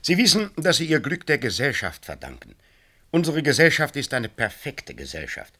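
Bright, officious bureaucrat with swooping delivery. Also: male voice, medium pitch, huge pitch swings, moderate pace, heavily acted. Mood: officious, emphatic. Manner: officious, declamatory, formal. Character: brisk, self-assured official of a dystopian society. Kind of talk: German radio play acting.

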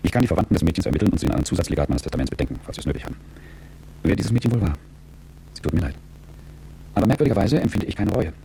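Casting: a fatalistic, remorseless dying man, low and mellow, measured radio play monologue. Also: very animated, creaky, a little hiss or hum, full character voice, low-quality recording. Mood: bleak, resigned. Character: fatalistic, remorseless dying man, coolly weighing his guilt. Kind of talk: radio drama interior monologue.

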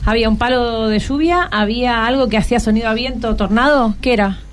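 A woman's voice: on the high side, fast talker, slight background noise. A woman with a Rioplatense Argentine accent hosting a casual radio interview, encouraging and full of questions. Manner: encouraging, asks many questions. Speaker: radio host interviewing schoolchildren.

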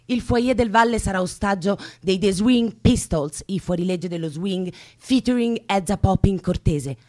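A radio presenter reading out a scripted promotion for upcoming events. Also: medium pitch.

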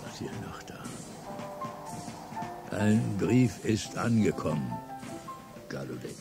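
A bitter old revolutionary broods and raves in a radio play. His pitch swings widely and he lingers slowly over each phrase. Music plays underneath. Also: big pitch swings, vocal fry, full character voice. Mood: bitter raving. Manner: declamatory, swinging between mutters and outbursts.

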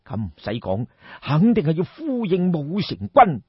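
A man voicing a seasoned, loyal army general in Cantonese, sighing and speaking in a deliberate, measured way. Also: on the high side, wildly swooping pitch, husky, dry recording, highs cut off, full character voice. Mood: grave. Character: seasoned male army general, grave, weary, firm and loyal.

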